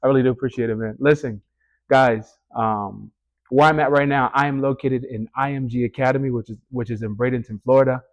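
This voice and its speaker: A man's voice: medium pitch, steady tone, fairly quick, muffled recording. A man in his thirties hosting a casual interview podcast, chatty and enthusiastic. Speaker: podcast host.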